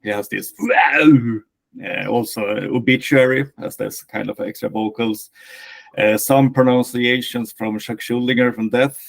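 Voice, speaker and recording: A man with a thick Swedish accent, a band vocalist answering interview questions slowly and with many hesitations. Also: mid-range voice, fairly even intonation, gravelly. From Sweden. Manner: slow, hesitant, many filler sounds. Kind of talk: casual interview talk.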